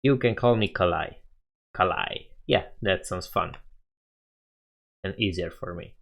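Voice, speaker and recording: A man's voice: sits low, unhurried, gravelly, a bit bright. A young man with a Romanian accent, casually chatting with viewers on a coding live stream, laughing often and thinking aloud.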